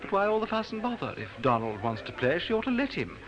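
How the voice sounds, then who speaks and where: A man's voice: sits high, sing-song, quick pace, highs cut off, crowd noise. A man playing a catty, breezy society party guest with a British accent, bantering archly in a 1950s radio drama. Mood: flippant. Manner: arch, drawling banter. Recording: hissy old radio recording.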